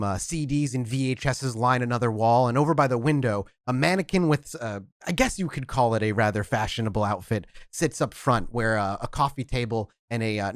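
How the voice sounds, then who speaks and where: A man as storyteller, narrating a tabletop roleplaying game in descriptive, unhurried speech with frequent fillers. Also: mid-range voice, no room sound, clean studio sound.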